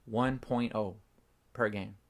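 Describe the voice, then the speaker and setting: A young man hosting a casual sports reaction, excitable and slangy, with a hoarse, nearly lost voice from screaming. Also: lowish voice, slow pace. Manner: excitable, slangy, says bro a lot. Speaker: fan channel host. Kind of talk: casual post-game reaction.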